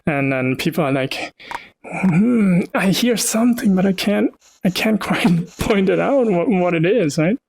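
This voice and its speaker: A man's voice: high voice, animated, unhurried, creaky, a little mellow, husky. A man from Hamburg with a light North German accent, chatting casually and explaining his work while he animates on a live stream. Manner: easygoing, laughs often, explains patiently. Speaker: artist hosting a live stream.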